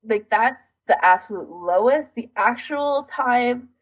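A woman's voice: on the high side, expressive, takes it slowly, slightly rough. A woman co-hosting a podcast in casual chat, recounting things in detail with a self-deprecating streak. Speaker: podcast co-host.

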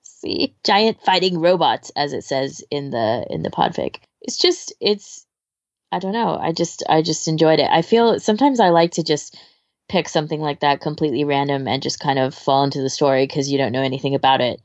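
Woman w low gravelly voice, rambly podcast chat, kinda dull dry recording. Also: normal speed, no room sound. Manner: informal, rambling, hesitant, trailing off. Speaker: podcast co-host. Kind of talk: casual chat, recommending fan fiction audio.

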